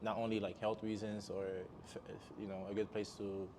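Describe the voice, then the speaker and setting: A man's voice: lowish voice, level tone, a little fast, a little mellow, a little hiss or hum. A man hosting a podcast, talking casually in loose, meandering sentences full of "um" fillers. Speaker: podcast host. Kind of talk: casual interview chat.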